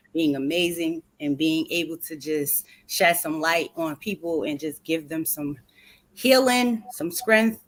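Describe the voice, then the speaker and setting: Host, woman, chatty and upbeat, a touch low. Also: a bit bright. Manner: upbeat, chatty, uses y'all. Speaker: host of an online book tour. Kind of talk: casual interview.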